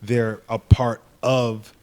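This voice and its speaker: A man's voice: medium pitch, level tone, slow, darkish tone, clean tone. A man in casual podcast conversation who talks animatedly and acts out what other people said.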